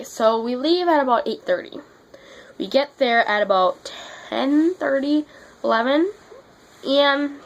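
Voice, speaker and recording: A teenage girl talks straight to her viewers in a casual vlog, her voice worn down and congested from being sick. She sounds fed up and blunt, cursing freely as she rants. Her voice sits a little high for a woman. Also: rough recording. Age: teens. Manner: blunt, exasperated, swears freely. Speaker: vlogger.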